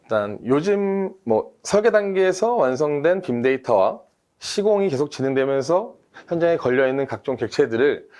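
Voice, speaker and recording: Man, team leader, methodical explanation, mid-pitched voice, webinar panel. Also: a little mellow. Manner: measured, methodical, with frequent fillers. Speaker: team leader, industry expert guest.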